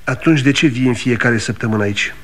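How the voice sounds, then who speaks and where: A man's voice: medium pitch, lightly acted. An actor playing a blunt, practical, generous but exasperated businessman friend in a 1960 Romanian radio drama. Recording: vintage 1960 radio studio recording.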